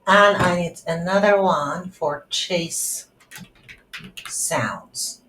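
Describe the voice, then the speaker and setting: A woman with a low voice talks slowly through a coding tutorial. She thinks aloud in halting pieces as she works. The room adds noticeable echo to her words. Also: a bit bright. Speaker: tutorial instructor. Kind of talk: unscripted software tutorial narrated while coding. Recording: roomy echo, faint background noise.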